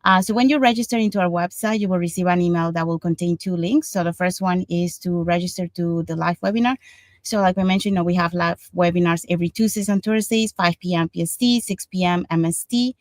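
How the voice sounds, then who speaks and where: A woman's voice: fairly low pitch, fairly even intonation, a little fast, no room sound. Woman from Panama hosting, dry sound. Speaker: host. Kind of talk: online panel show hosting.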